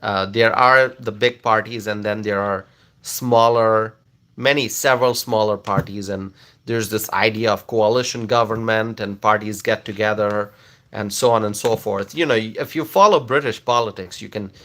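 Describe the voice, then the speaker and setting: Man, earnest and bright-voiced. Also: lowish voice, steady tone, moderate pace. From Bangladesh. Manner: earnest, lecturing, many fillers and restarts. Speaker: political commentator answering viewers. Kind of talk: casual livestream talk.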